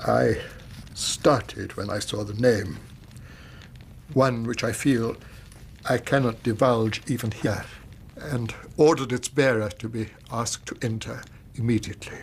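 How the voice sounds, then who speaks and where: A troubled Victorian English banker of about fifty for radio. His creaky, gravelly voice is formal, halting and pompous, over a faint rumble of noise. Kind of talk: radio drama acting.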